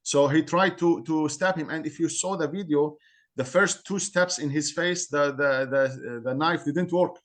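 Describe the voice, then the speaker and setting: A man from Syria with an Arabic accent, a community guest speaking earnestly in halting English and repeating words as he searches for them. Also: on the high side. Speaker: guest, Assyrian community member. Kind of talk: interview on a call-in podcast.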